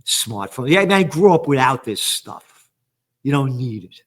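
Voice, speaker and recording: An older man hosting a news commentary, reading headlines and ranting with angry, sarcastic, profane remarks that swing from low mutters to shouts. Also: very animated, normal speed. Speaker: trends commentator and host.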